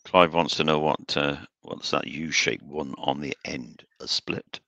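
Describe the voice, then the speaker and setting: A man's voice: extremely low pitch, fairly even intonation, unhurried, creaky, sharp tone, dry recording. A man co-hosting a live stream, trading teasing, chatty banter and welcoming viewers. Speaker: co-host. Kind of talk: casual chat.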